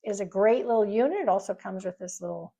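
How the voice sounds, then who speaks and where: A woman's voice: mid-range voice, fast talker, gravelly, warm tone. A woman giving a casual, informal product review, explaining features in a rambling, conversational way.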